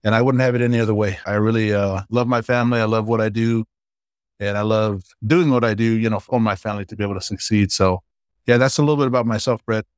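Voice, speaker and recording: A man in his forties from Hawaii, a startup founder chatting casually and warmly about his life as an interview guest. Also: lowish voice, steady tone, fast talker, no echo, clean studio sound.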